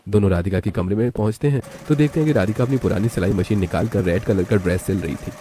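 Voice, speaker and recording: A man's voice: lowish voice, fast, dark tone, slight background noise. A man reading scripted narration for a story.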